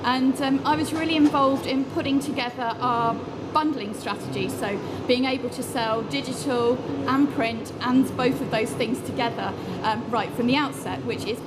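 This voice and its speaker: High woman on a panel, lots of ums, loud noisy hall behind. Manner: measured, frequent ums. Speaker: panelist, marketing head. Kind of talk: conference panel introduction. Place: conference hall panel stage. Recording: conference recording with loud background noise.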